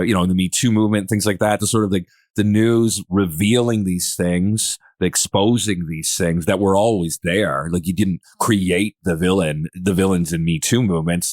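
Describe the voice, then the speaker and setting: A man's voice: low voice, darkish tone, no room sound, studio quality. A man hosting a podcast in casual discussion, talking in a hesitant, rambling way and often circling back to rephrase. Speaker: podcast host.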